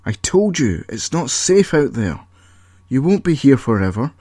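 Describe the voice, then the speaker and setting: A man's voice: medium pitch, big pitch swings, unhurried, mellow, no room sound, pitched above the performer's own voice. Irritable, protective male inventor for an audio story, voiced by the narrator, exasperated and snappish, very dry sound.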